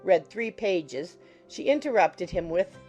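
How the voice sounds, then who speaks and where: A woman's voice: on the low side, expressive, takes it slowly, mellow, faint music behind. A woman reading scripted narration from a classic novel as an audiobook narrator.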